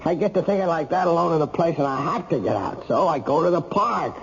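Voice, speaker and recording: A dull, faintly noisy old radio drama recording. a bitter ex-con in his thirties with a gravelly voice. He is agitated and desperate, pouring out his misery. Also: on the high side, animated, fast. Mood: despairing. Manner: agitated, emotional, self-pitying. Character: bitter, desperate ex-con night watchman, thirty-five, wrongly accused.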